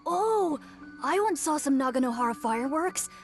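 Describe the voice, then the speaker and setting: A woman's voice: sits high, animated, moderate pace. A woman voicing a timid little childlike yokai who is polite and stammering, in an English game dub. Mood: nervous awe. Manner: shy, stammering, deferential. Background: faint music.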